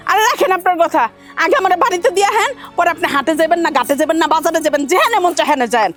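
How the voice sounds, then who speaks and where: A woman's voice: very high-pitched, expressive, rapid-fire, fairly bright tone, soft background music. An actress playing a haughty city woman who scolds and sneers at her rural relatives. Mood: scolding. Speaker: actress in a family drama.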